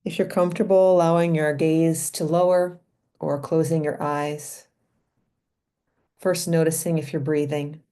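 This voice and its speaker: Low-pitched, mellow woman guiding a meditation. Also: little pitch movement. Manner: gentle, unhurried, pausing between short phrases. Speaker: meditation leader and physician. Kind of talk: guided meditation.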